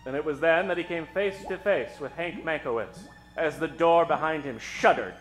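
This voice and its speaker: A man narrating a radio play in a theatrical, suspenseful manner with dramatic pauses. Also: fairly high pitch, faint music behind.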